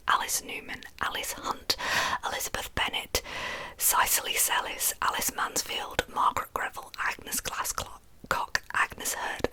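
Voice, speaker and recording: A woman telling true crime history in ASMR style as host, in a breathy, soft whisper with casual asides. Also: low-quality recording.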